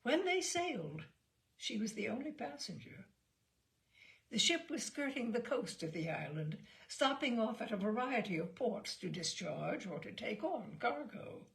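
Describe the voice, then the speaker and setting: A woman narrating a literary short story in an expressive, theatrical and measured way. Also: fairly high pitch, gravelly. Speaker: audiobook reader.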